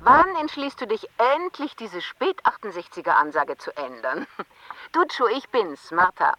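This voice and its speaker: Eine Schauspielerin spielt in einem Hörspiel eine besorgte, liebevolle Ehefrau, die sanft neckend und drängend aufs Band spricht. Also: female voice, mid-range voice, sing-song, a little slow, heavy background noise. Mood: concerned, coaxing. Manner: conversational, coaxing. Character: concerned, affectionate wife, gently teasing. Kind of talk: radio drama, answering-machine message. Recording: dull band-limited answering-machine sound.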